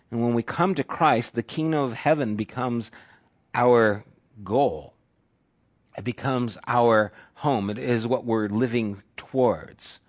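Casual, emphatic man preaching a sermon. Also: medium pitch. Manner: conversational preaching, emphatic repetition, fillers like "you know". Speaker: pastor. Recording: live church service, audio only.

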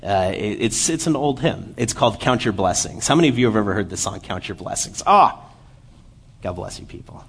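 A man in his late twenties giving a church sermon, lively while telling a story and then quiet and earnest as he reads scripture. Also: lowish voice, fairly quick, gravelly, slightly rough. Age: 20s. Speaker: lay preacher.

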